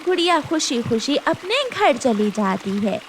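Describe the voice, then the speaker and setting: A woman's voice: high voice, sing-song, a little slow, a little hiss or hum. A woman narrating a scripted children's story as the narrator.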